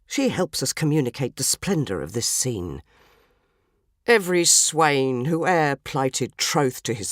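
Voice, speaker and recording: Woman voicing a pompous, solemn, low-voiced police detective. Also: dry recording, studio quality. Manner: stately, oratorical, florid. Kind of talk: dramatised story reading.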